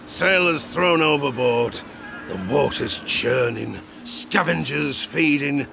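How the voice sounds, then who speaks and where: Gravelly old sea dog storyteller. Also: male voice, fairly high pitch, takes it slowly, background noise, exaggerated voice. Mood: dramatic, grim. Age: older. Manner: rustic sailor dialect, chuckling, conspiratorial asides. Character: garrulous old male sailor, former harpooner, spinning tavern tales. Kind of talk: theatrical audio drama storytelling.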